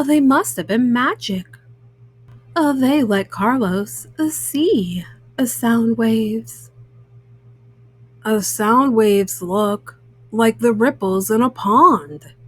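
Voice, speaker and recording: A woman reads a children's picture book aloud, haltingly, often stopping on small hesitant fillers. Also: on the high side, dry recording. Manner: halting, word-by-word reading with frequent hesitant fillers. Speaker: storyteller, host of a children's read-aloud show.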